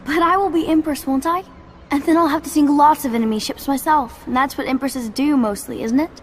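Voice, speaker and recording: A woman's voice: high-pitched, fairly even intonation, a little fast, a little hiss or hum, natural voice. A female performer playing an eager, curious little princess in a video game, bubbly and childlike. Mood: excited, curious. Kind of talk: dramatic game cutscene dialogue.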